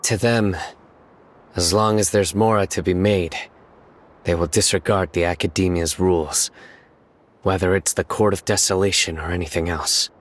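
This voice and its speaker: A man playing a stern, stoic young enforcer of justice in a video game, speaking in a measured, solemn way. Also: low-pitched, steady tone. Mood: stern, resolved. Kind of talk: dramatic video game dialogue.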